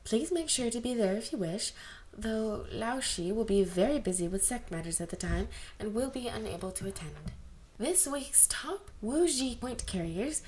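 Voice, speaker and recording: A brisk young woman chairs a club meeting in a storybook tale, acted out by a female reader. She speaks formally, as if announcing to a group, in a raised pitch. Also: lively intonation, a little mellow, a little hiss or hum, close to an everyday voice. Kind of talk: storybook character voice.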